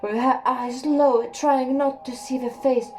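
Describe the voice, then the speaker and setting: Slow, hushed woman reading a story. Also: high voice, fairly even intonation, a little hiss or hum, low-quality recording. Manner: slow, hushed and measured, with a few slips and laughs. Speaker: audiobook reader.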